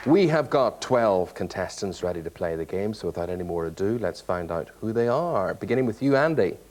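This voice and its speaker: A man's voice: sits low, expressive, a little fast, a crowd in the background, background noise. A man hosting a game show, explaining the rules and teasing the contestants in a chatty way.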